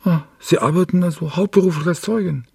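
A man's voice: sits high, brisk, creaky, darkish tone, breathy edge, full character voice. An actor playing a stubborn, grim father searching for his child in a German radio crime drama, terse and insistent. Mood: insistent, probing.

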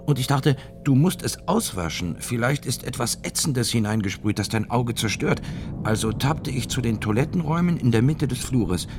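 An actor playing a shaken male academic who soberly recalls being a bombing victim in a measured radio drama monologue. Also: mid-range voice, lively intonation, background noise, close to an everyday voice. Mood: controlled shock.

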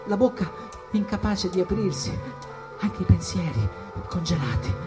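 An actor playing a young, withdrawn, watchful jazz musician in a theatrical monologue, in short, halting, repeated phrases. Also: male voice, high-pitched, big pitch swings. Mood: frozen, tense. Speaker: stage actor. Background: jazz music and percussion.

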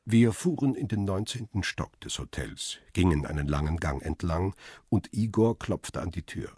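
A man's voice: low voice, expressive, normal speed, darkish tone, slightly rough, dry recording, studio quality. An actor playing a world-weary middle-aged photographer, telling his own story soberly and laconically in a radio crime drama. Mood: sober, observant. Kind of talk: first-person radio crime drama narration.

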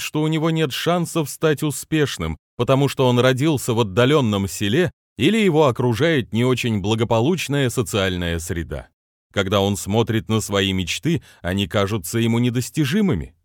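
Man with non-native pronunciation, lively delivery. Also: mid-range voice, moderate pace, dry recording, clean studio sound. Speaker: business trainer and author. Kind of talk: reading his own self-help book aloud.

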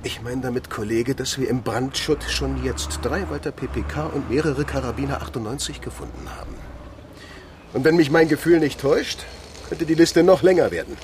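An actor playing a formal senior police detective, brisk and officious, in a naturalistic radio crime drama. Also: male voice, medium pitch, a little mellow, slight background noise, natural voice. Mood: grave.

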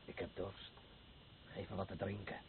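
An actor playing a bitter, aggressive fisherman suffering from thirst and pain in a radio play, with a husky voice. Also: low voice, completely flat delivery, moderate pace, slight background noise. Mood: weak, despairing. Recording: dull old radio play recording.

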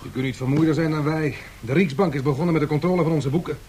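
Man acting a harried colleague, high voice, rapid delivery, exasperated, blunt, radio drama. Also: a little mellow, slight background noise, natural voice. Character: exasperated, overwhelmed male company colleague.

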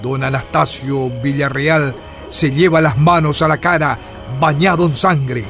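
A man narrating a radio drama with solemn, theatrical, measured phrasing. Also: on the high side, steady tone, creaky, fairly bright tone. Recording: studio radio drama with music underneath.

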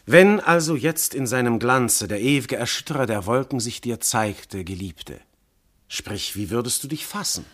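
An actor playing a commanding, probing god disguised as a mortal husband and lover, speaking declamatory classical German verse in a radio play. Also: male voice, medium pitch, expressive, normal speed, a bit bright. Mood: coaxing. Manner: impassioned, declamatory, theatrical delivery with wide swells.

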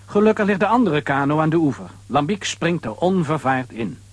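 A man with a husky voice narrating a children's audio adventure as a storyteller. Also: a little high, very animated, a little fast, no echo.

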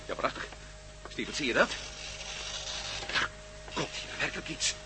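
An actor playing a bold adventurer in a radio play who takes charge of every decision. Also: male voice, mid-range voice, animated, moderate pace, bright, forceful tone. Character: bold adventurer hero Matt Meldon. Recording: noisy old radio play recording.

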